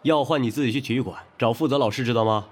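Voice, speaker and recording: A man acting a patient, matter-of-fact high school teacher in a modern audio drama, giving instructions. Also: rapid-fire, lightly acted. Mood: mildly scolding. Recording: faint background noise.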